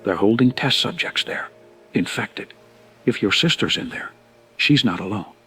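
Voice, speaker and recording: An actor playing a grim informant in an audio drama, speaking in grave, measured and emphatic warnings. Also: male voice, lowish voice, expressive, fairly bright tone. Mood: sombre. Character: grim male informant warning of bioweapon outbreak.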